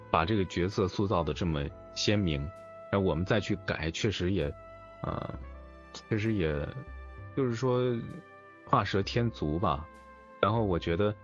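A man who voice-acts in audio dramas, chatting casually in an interview in a hesitant, thoughtful, halting way. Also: deep voice. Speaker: amateur audio drama voice actor being interviewed. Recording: dull lo-fi voice chat with music. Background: music.

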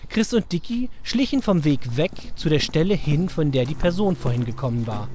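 A man's voice: on the high side, animated, moderate pace, darkish tone, a little hiss or hum. A man delivering scripted narration as the storyteller of a children's audio drama.

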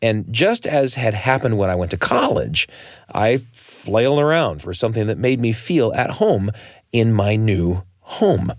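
An American man narrates a personal tale as a radio storyteller. His voice sits low and moves through lively, varied intonation. The sound is close and dry. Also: a little slow, no room sound.

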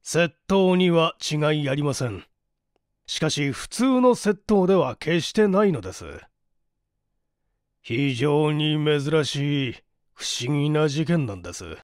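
A man reading a mystery story as a narrator, in a measured, polite and formal delivery. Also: on the high side, animated, no room sound, studio quality. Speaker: audiobook narrator, stage actor. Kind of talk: dramatized literary reading, mystery novel.

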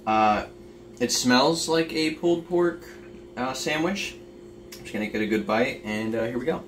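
A man's voice: medium pitch, animated, normal speed. Young man, casual cooking vlogger, offhand and chatty, picked up from across an echoey kitchen. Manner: chatty, offhand, lots of fillers. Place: home kitchen. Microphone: camera on the counter, across the kitchen. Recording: roomy, distant camera audio with noise.

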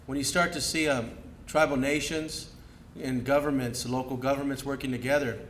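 A man's voice: medium pitch, steady tone, moderate pace, fairly bright tone, slight background noise. Earnest middle-aged man at an outdoor podium. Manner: earnest, loosely improvised with fillers. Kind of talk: ceremony remarks. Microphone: podium mic. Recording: echoey outdoor PA with crowd.

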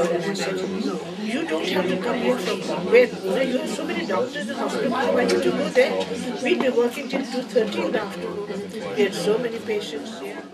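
An older woman who worked as a clinic nurse, recounting her work in a lively way. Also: mid-range voice. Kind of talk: storytelling in an interview. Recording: distant mic with heavy crowd noise.

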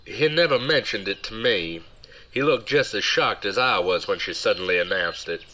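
A man plays a smooth-talking, excuse-making buddy in an audio drama. His voice is fairly low and forceful as he spins his tale with earnest drama. Faint noise sits behind him. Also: expressive, moderate pace. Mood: dramatic recounting. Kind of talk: audio drama acting, storytelling.

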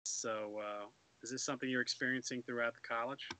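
A man's voice: mid-range voice, fairly even intonation, brisk, background noise. A middle-aged man hosting a radio talk show over a video call, speaking through a headset in a rambling way with many restarts. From Florida. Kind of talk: casual video-call discussion. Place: home room.

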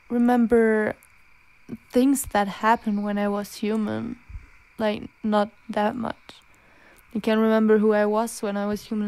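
A woman playing an anxious, gentle young werewolf in an intimate roleplay, speaking in a halting, pleading manner. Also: a little high, vocal fry. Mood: uneasy, confiding. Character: anxious, self-loathing young female werewolf, protective and gentle. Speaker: amateur roleplay voice actor. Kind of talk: intimate ASMR girlfriend roleplay.

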